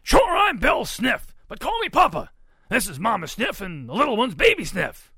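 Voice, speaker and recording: A storybook reader voicing a huge, blustery, jovial papa pig with a loud, folksy, exclamatory manner. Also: medium pitch, wildly swooping pitch, normal speed, breathy edge, no room sound, clean studio sound, pitched above the performer's own voice, exaggerated voice. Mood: blustery, excited.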